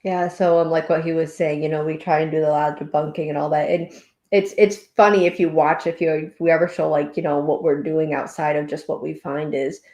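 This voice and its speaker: A woman's voice: sits low, fairly even intonation, brisk, mellow, reverberant. A woman from western New York, a podcast guest, talking chattily with frequent laughs and restarts. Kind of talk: casual interview chat.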